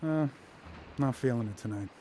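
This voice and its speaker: A man's voice: medium pitch, moderate pace, dark tone, lightly acted. An actor playing a downcast, withdrawn teenage boy in casual audio drama dialogue. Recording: faint background ambience noise.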